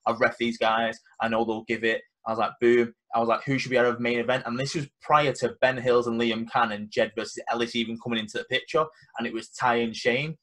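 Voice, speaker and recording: A man's voice: mid-range voice, flat delivery, brisk, hardly any pauses, fairly bright tone. A young man hosting a casual podcast chat. He is confident and emphatic, and he acts out the conversations he retells. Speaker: podcast host and event organizer.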